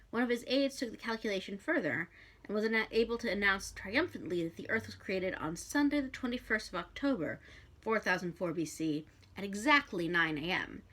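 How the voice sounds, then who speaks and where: A woman's voice: mid-range voice, a bit bright. A woman reading a novel aloud expressively, with a wry delivery and the occasional stumble over a word.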